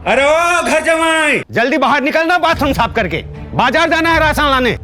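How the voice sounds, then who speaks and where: An actor playing a bossy, sneering household servant in an audio drama, ordering and taunting. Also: male voice, very high voice, lively intonation, moderate pace, bright, forceful tone, soft background music, exaggerated voice. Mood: bossy, calling out. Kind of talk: Hindi audio drama acting.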